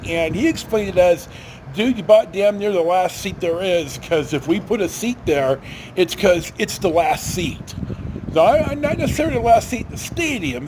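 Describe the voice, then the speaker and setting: American male, middle-aged, high voice with big swings, folksy salty storyteller, noticeable background noise. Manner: folksy, blunt, salty-tongued. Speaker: storyteller, vlog host. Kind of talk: rambling casual anecdotal storytelling.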